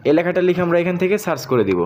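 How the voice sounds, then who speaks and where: A man's voice: sits high, expressive, rapid-fire, a little mellow. A man with a Bangladeshi accent narrating a step-by-step app tutorial, speaking directly to viewers and repeating each step. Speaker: tech tutorial host. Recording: faint background noise.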